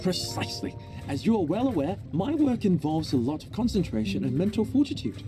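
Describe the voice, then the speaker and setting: A precise, self-important scientist from a video game. His phrasing is formal and pedantic, yet the pitch moves about briskly. Music is clearly audible under the voice. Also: sing-song, warm tone. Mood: precise, self-satisfied. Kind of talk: video game character acting. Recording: music behind the voice.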